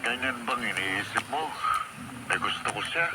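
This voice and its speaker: A man acting in a Filipino radio drama as a wealthy, middle-aged widowed employer, measured and calculating. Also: fairly low pitch, animated, heavy background noise, close to an everyday voice. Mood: guarded.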